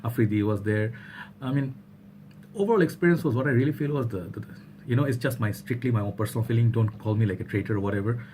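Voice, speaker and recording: A man's voice: mid-range voice, fast, dark tone, a little hiss or hum. A young man from Bangladesh talking casually to camera in a vlog, rambling with many fillers and restarts. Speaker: vlogger.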